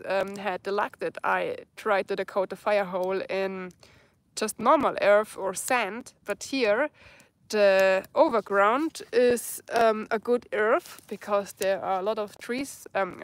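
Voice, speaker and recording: A woman's voice: mid-range voice, dry recording. A woman in her thirties with a German accent, reading viewer comments on a live stream at night in the forest, searching for words in English. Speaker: bushcraft vlogger. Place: outdoors in a dark forest at night by a campfire.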